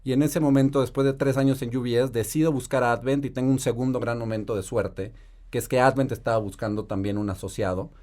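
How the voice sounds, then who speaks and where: Measured Mexican man, investor guest. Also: medium pitch, fairly even intonation, darkish tone. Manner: measured, articulate, grateful. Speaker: private equity investor guest. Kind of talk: podcast interview storytelling.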